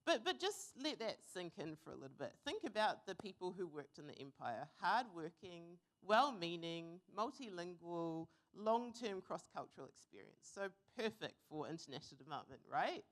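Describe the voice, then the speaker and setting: A young woman from New Zealand giving an earnest, reflective conference talk, pausing with fillers now and then. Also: on the low side, big pitch swings. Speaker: conference speaker. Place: conference hall.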